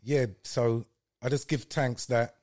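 An older man from Guyana, telling stories as a podcast guest in a warm, devout way. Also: mid-range voice, steady tone, slow. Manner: warm, storytelling, devout greetings. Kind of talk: casual interview.

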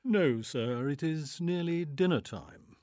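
A man voicing a calm, formal valet who reports events in measured, precise speech. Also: on the high side, dry recording, studio quality. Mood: composed. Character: unflappable, formal adult male valet.

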